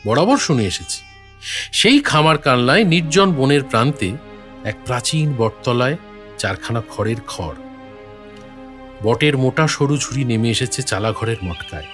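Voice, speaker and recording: An actor playing a thoughtful, courteous gentleman writer, narrating an audio story in a reflective, literary manner. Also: medium pitch, lightly acted. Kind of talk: scripted story narration with acted dialogue. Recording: faint music behind the voice.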